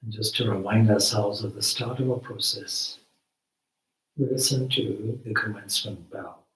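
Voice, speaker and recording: Slow, deep-voiced man guiding meditation, roomy echo. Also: fairly even intonation, noisy background. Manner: measured, deliberate, short phrases with long pauses. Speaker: spiritual teacher leading meditation. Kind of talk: guided meditation instruction. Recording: roomy echo, distant microphone.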